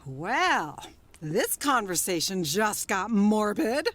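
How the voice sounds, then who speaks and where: A woman's voice: mid-range voice, very animated, takes it slowly, fairly bright tone, no room sound, exaggerated voice. A woman playing a troubled, guarded woman in a naturalistic radio drama, sardonic, weary and prickly. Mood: dry, guarded. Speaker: actor in an audio drama.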